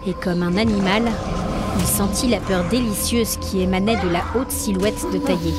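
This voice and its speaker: A woman, giving dramatic scripted narration of a supernatural story. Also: medium pitch. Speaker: narrator and podcast host. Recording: music bed under narration. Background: music.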